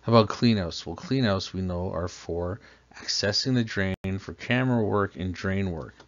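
Low-voiced man teaching over slides. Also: normal speed. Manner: patient, explains step by step with questions. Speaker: plumbing instructor. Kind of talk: slideshow lesson narration. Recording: dull lo-fi voice-over.

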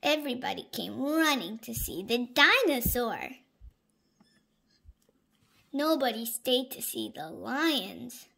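A woman reading a picture book aloud to young children in an animated, emphatic way. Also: on the high side, gravelly. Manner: slow, animated, with exaggerated emphasis for small children. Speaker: storybook reader for young children. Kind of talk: expressive read-aloud narration of a picture book.

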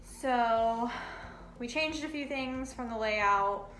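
A woman's voice: a little high, slow pace, fairly bright tone, faint music behind, rough recording. A young woman co-hosting a van build vlog, talking casually and chatty, often exasperated. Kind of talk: casual chat. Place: small living room, indoors.

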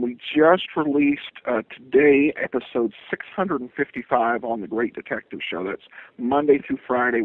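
A man being interviewed remotely, answering casually in a halting way with frequent uhs. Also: mid-range voice, no echo. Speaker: podcaster, interview guest. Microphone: remote call. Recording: tinny, dry phone-like line.

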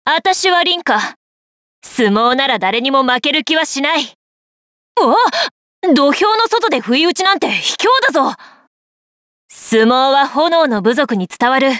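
A woman's voice: high-pitched, fairly bright tone, dry recording, clean studio sound. A woman playing a proud, boyish young female fighter in a Japanese game, blunt and cocky. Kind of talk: Japanese game character voice lines.

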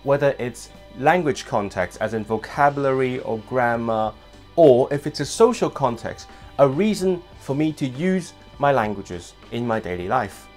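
A young man talks straight to his webcam from a small room at home, his hands moving as he explains things. English is not his first language, and he speaks with an average pitch. Soft music plays faintly behind him. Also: moderate pace. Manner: animated, gestures with hands while talking. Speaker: language vlogger host. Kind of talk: casual scripted vlog talk to camera.

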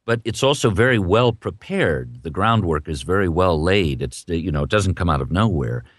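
A man interviewing in a conversational way, halting and restarting phrases as he works toward his point. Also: low voice, animated, no echo, clean studio sound.

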